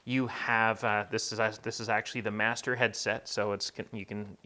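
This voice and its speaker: Guy doing a casual tech unboxing, lowish voice w a bright crisp edge, chatty explainer style. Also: level tone, normal speed. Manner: conversational, explanatory. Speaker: tech reviewer.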